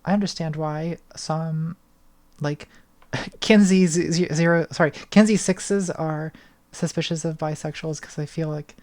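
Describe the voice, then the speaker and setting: A young woman answering patron questions on a casual livestream from her bedroom, thoughtful and hesitant, with a slightly hoarse voice, speaking into a studio mic. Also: deep voice, steady tone, a little slow, darkish tone. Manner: thoughtful, hesitant, many restarts and ums. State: voice getting hoarse. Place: small bedroom lit purple. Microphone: studio mic on arm, front of frame.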